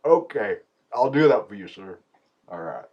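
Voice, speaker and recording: A man's voice: fairly low pitch, sing-song, moderate pace, a little mellow, muffled recording. A man on a prank call, mocking and fake-apologetic, laughing between lines. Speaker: prank caller.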